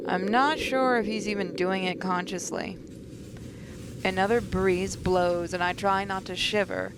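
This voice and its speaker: A woman's voice: medium pitch, steady tone, measured pace, crowd noise. A woman hosting a gaming video, reading a visual novel aloud with asides and occasional stumbles. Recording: voice over game music.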